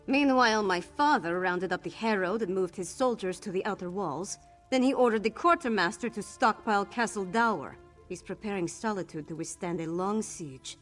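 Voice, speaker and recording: A woman playing a brisk, determined young noblewoman in a fantasy video game, speaking frankly and in a businesslike way. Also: medium pitch, natural voice. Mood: urgent, determined. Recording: game music behind the voice.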